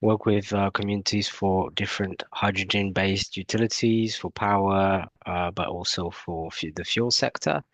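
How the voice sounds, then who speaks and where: Male presenter, British accent with a twang, low voice, dry close sound, halting delivery. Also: steady tone, no echo. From half French, half British. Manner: hesitant, many fillers and restarts. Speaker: guest presenter, energy company head. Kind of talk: webinar presentation.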